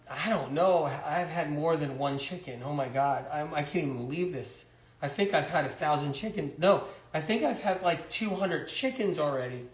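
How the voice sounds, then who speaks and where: Oblivious young chicken-eater , as a man puts him on, high voice, rambling and incredulous, echoey room. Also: a bit bright. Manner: rambling, incredulous, repeats himself.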